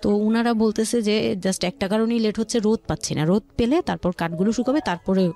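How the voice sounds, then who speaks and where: A woman with a Dhaka Bangladeshi accent and a medium-pitched voice recounts personal experience in conversation. She explains haltingly and often rephrases herself, with music playing behind her. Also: dark tone. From Old Dhaka, Bangladesh. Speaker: guest telling her life story.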